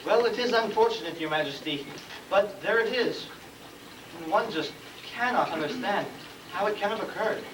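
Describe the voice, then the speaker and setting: A man playing a deferential, long-winded royal chancellor in a stage comedy, formal and halting as he picks his words. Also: high-pitched, expressive. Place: school stage, live play performance. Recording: distant, noisy, echoey room recording of play.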